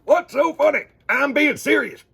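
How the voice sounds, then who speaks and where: A man's voice: very high voice, expressive, normal speed, vocal fry, pushed and bright, pitched above the performer's own voice, exaggerated voice. A man voicing a playful, earnest young boy in a picture book, speaking in emphatic exclamations. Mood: indignant.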